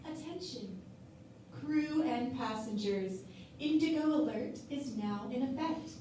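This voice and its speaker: A woman's voice: sits high, slow, warm tone. A woman playing a starship announcer giving formal alerts in a parody radio play. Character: calm starship public-address alert announcer. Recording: very echoey, distant, faint noise.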